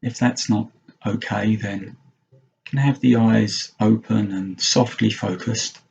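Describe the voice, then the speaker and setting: A man leading a guided mindfulness meditation as a teacher, speaking gently and unhurriedly in short phrases with long pauses. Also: fairly low pitch, fairly even intonation, creaky, dull audio, a little hiss or hum.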